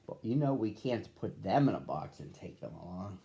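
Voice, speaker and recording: A man voicing a practical, comforting mother bear in a children's storybook, explaining things gently. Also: low voice, very animated, moderate pace, creaky, exaggerated voice.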